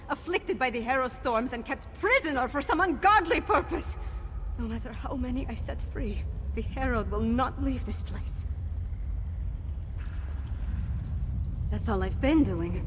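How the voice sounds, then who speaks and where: A woman's voice: on the high side, lightly acted. An actress playing a fierce, determined young noblewoman rebel in a fantasy video game, impassioned and earnest. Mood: angry, urgent. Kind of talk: dramatic scripted video game dialogue. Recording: long reverb, game music behind.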